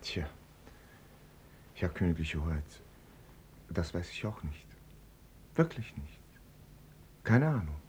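Slow, deep-voiced evasive courtier. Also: male voice, very animated, a little mellow, slight background noise, exaggerated voice. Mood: embarrassed. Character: evasive, flustered male courtier to a prince. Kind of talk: German radio drama acting.

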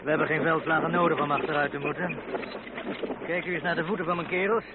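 Thick noise and a narrow band carry a high-voiced, worn-out soldier, acted by a man. He is a bitter lieutenant in an old radio drama, blunt and complaining. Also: steady tone, fast, lightly acted. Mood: weary, bitter. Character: weary, ragged, bitter revolutionary army lieutenant. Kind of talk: radio play acting.

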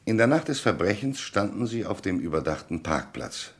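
A man's voice: deep voice, very animated, moderate pace, husky, heavily acted. An actor playing a shrewd, insistent police commissioner in a radio crime drama, methodical and declamatory as he interrogates. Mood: formal, commanding.

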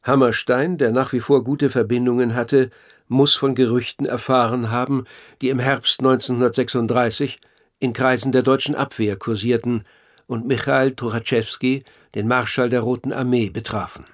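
A sober, inquisitive man of letters narrates a documentary radio drama as played by an actor. His mid-pitched voice is measured and factual, with carefully precise phrasing. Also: steady tone, lightly acted. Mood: sober, explanatory. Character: sober, inquisitive author narrating a historical investigation.

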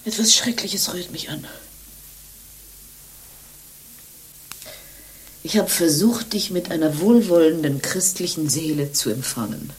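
An actress playing a pious, world-weary nun in a radio play, solemn and dramatic with an indignant, scornful edge. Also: female voice, fairly low pitch, animated, normal speed, darkish tone, lightly acted, poor audio. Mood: solemn, bitter. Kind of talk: German radio play acting.

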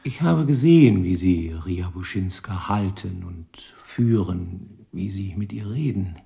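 A seasoned, weary detective in a radio crime play. His lowish voice crackles with gravel as he probes in a measured, knowing, insistent manner. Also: lively intonation, dark tone, exaggerated voice. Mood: knowing, pressing. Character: world-weary, probing male police detective lieutenant. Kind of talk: German radio crime drama acting.

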